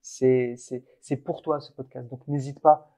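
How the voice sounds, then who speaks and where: A man's voice: medium pitch, level tone, unhurried, dark tone. A man co-hosting a casual motivational podcast, talking in an earnest, encouraging way with frequent restarts. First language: French. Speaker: podcast co-host.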